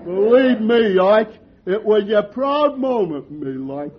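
An actor playing a dim-witted, cheerful barfly in 1940s radio comedy, with a slow, dopey and innocent delivery. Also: male voice, sits high, lively intonation, breathy edge, a faint crowd, exaggerated voice. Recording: lo-fi old radio broadcast with hiss.

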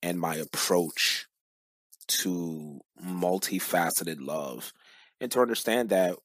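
Man, from Cameroon, lowish voice, dry recording, casual reflective podcast guest, emphatic slangy storytelling. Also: no room sound. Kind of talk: casual, reflective interview talk.

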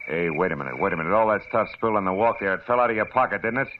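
Man doing tough private eye, deep voice, dry wisecracks, dull old radio sound, hiss behind. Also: lively intonation, very fast, natural voice. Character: hard-boiled male private eye, wisecracking. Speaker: narrator and lead detective. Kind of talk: 1940s radio acting.

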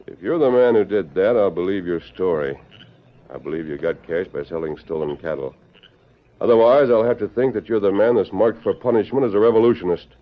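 An actor playing a stern, commanding cowboy hero who talks firm and clipped, in old-time radio western style. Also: male voice, low voice, fairly quick, lightly acted. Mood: probing, insistent. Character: commanding masked cowboy hero interrogating an outlaw, stern, sure. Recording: muffled vintage radio drama recording.

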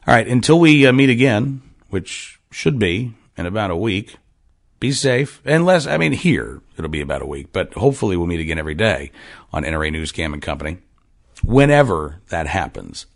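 A man in his forties hosting his own podcast, talking casually with lots of fillers and restarts. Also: sits low. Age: 40s. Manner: rambling, frequent fillers and restarts. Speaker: podcast host. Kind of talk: casual monologue. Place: farm.

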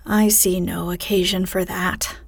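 Woman whispering as a dry teasing old husband from a storybook, slow and breathy. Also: on the low side, flat delivery, no echo, exaggerated voice. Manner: soft whisper. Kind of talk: whispered bedtime storybook reading.

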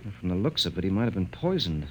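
A man's voice: low-pitched, sing-song, quick pace, a little mellow. An actor playing a stern, worried father in a vintage radio drama, questioning his family. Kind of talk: 1950s radio drama acting. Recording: old radio recording, faint hiss.

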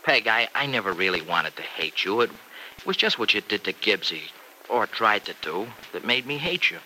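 A very bright, forceful voice that swings freely in pitch: an earnest young American farm fellow in an old radio drama. He is folksy, slangy and teasing. The old recording carries a faint hiss. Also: big pitch swings, normal speed, exaggerated voice, poor audio. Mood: sincere. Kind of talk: mid-century American radio drama acting.

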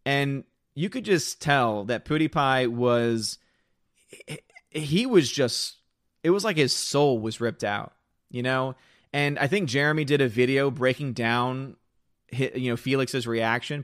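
A man with a slightly hoarse voice talks casually on a live stream, reading viewer comments aloud and rambling with restarts. His voice sits at an ordinary middle pitch. The sound is dry and close, with no room echo.

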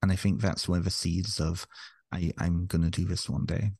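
Male podcast guest, deep gravelly voice, mixed Canadian and British accent, hesitant and reflective, dry close sound. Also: flat delivery, moderate pace, a little mellow, dry recording. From England. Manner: hesitant, many restarts and fillers. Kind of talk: reflective interview conversation.

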